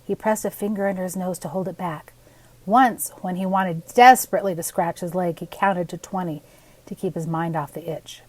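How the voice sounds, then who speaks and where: A woman's voice: lowish voice, fairly even intonation, moderate pace, a little mellow, low-quality recording. An older woman, narrating a children's story aloud with expression. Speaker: storybook reader.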